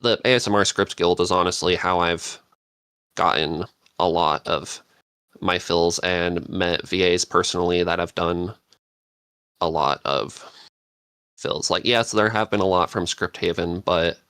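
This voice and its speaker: A man giving casual advice as a podcast panelist, halting and thinking aloud as he goes. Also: low-pitched, fairly even intonation, a bit bright, smooth, no echo. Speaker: podcast panelist, script writer.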